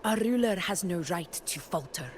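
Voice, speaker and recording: A woman voicing a proud, resolute warrior ruler in a video game, speaking in a formal, measured, commanding way. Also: on the low side, animated, lightly acted. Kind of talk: dramatic game dialogue. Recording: music behind the voice. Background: background music.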